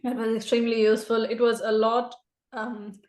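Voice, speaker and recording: A woman's voice: fairly high pitch, flat delivery, normal speed, a little mellow, echoey room. A woman taking part in an online group session, speaking hesitantly with many ums and uhs. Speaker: participant.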